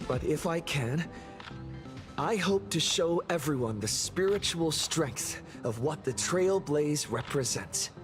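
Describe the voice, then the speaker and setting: Modest, unhurried young adventurer. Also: male voice, a little high, lively intonation. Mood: modest, earnest. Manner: measured, thoughtful, diplomatic. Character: modest, easygoing young male adventurer hero. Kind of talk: scripted game voice acting. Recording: music under the voice.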